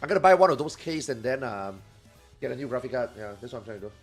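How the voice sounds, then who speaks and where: A man streaming live who chats casually, swears freely and reacts loudly to his viewers, and he says he is losing his voice. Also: medium pitch, animated, fast, soft background music.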